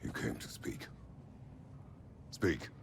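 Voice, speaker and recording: An actor playing a stern, terse, battle-worn warrior father in a video game, with a deep gravelly voice and grave manner. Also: slow, noisy background, lightly acted. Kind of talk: video game cutscene acting.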